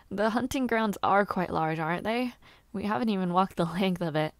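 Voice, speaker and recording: A woman playing a gentle, shy and eager young noblewoman who aspires to knighthood, chatting softly and politely in an intimate audio roleplay. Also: lowish voice, vocal fry, no echo. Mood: cheerful, eager. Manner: soft-spoken, chatty, polite, slightly bashful, giggly. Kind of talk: intimate audio roleplay, one-sided conversation.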